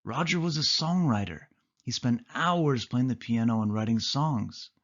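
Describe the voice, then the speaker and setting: A man reading a children's story aloud as storyteller, with expressive narration. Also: mid-range voice, unhurried, highs cut off.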